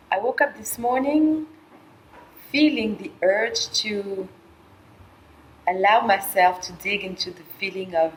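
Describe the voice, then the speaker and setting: A middle-aged woman from Colombia speaking softly and haltingly, with frequent pauses and fillers, in a reflective personal monologue. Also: medium pitch, a little hiss or hum, poor audio. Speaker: vlogger sharing a personal experience.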